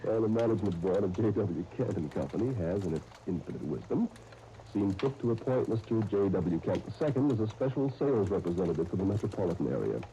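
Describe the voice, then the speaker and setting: Genial, playfully grandiose young businessman, deep and soft-edged, old radio drama with light noise. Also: animated, fast. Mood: jovial. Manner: jovial, mock-grand phrasing, chuckling. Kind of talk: 1930s radio drama acting. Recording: dull, noisy 1939 radio broadcast.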